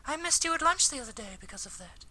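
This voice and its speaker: A man voicing a sweet, polite teenage schoolgirl from an anime visual novel in an airy, gentle falsetto. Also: sing-song, quick pace, exaggerated voice. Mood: sweet, friendly.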